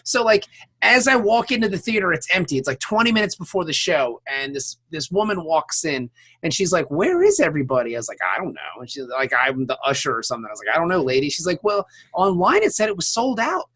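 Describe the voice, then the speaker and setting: Male co-host, high bright voice, excitable casual storyteller who exaggerates freely. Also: fast, husky. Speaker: podcast co-host. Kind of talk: casual animated chat and anecdotes.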